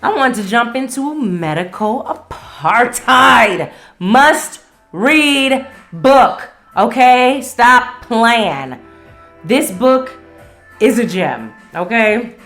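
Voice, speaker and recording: A woman's voice: a little high, expressive, very slow, a bit bright, faint music behind. An American woman hosting a solo podcast, speaking in a blunt, emphatic, slangy way. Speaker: podcast host. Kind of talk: casual solo podcast monologue.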